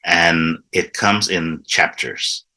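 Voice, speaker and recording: A man's voice: low voice, slow pace, bright, forceful tone. A man from Indonesia, a guest and improv teacher, explaining his format in a casual interview with frequent restarts. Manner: enthusiastic, restarts words often, explanatory.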